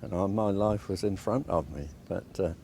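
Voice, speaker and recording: A man in his eighties, a war veteran, plainly and haltingly recollecting his service in an interview. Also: low voice, vocal fry. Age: 80s. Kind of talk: personal recollection. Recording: loud background noise, clicks.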